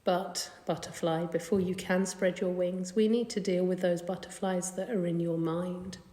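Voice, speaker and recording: A woman leading a guided meditation in a gentle, soothing, unhurried manner. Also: lowish voice, flat delivery. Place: indoor carpeted room. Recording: echoey room with long reverb.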